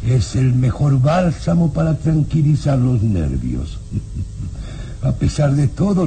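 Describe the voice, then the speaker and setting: An actor playing a sinister, courteous old-world baron and father in a radio drama, with theatrical, deliberate phrasing. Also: mid-range voice, mellow.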